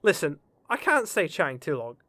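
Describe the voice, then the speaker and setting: Male actor as flippant fantasy adventurer, very lively pitch, glib chatty delivery, dry close recording. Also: normal speed, no room sound. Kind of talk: audio comedy fantasy drama.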